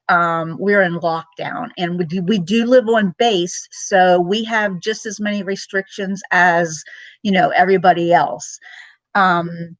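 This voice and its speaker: A woman's voice: on the low side, dry recording. A middle-aged woman chatting casually on a home live stream, rambling with many ums and greeting viewers by name. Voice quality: loud voice. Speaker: vlogger.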